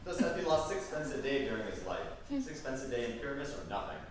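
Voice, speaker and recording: A man's voice: medium pitch, lively intonation, fast, natural voice. A man playing a mournful, humble workman in a staged Shakespeare reading. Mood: mournful, fretting. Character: Flute, mournful humble bellows mender. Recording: echoey hall, distant mic, clear noise.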